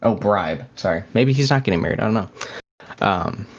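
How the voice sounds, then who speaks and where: Male podcast co-host, lower register, casual and halting, slightly lo-fi audio. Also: lively intonation. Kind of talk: casual chat.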